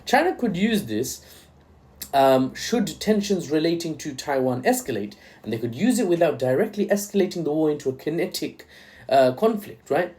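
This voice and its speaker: A man's voice: fairly high pitch, sing-song, moderate pace, a little mellow. Joking young man commenting on news. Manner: joking, irreverent asides.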